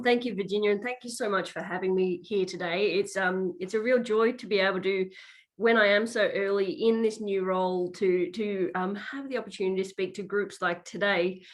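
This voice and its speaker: A woman speaking as a guest on an online panel, talking casually with some fillers. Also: mid-range voice. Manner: warm thanks, some fillers. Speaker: panel speaker, government director. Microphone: video call.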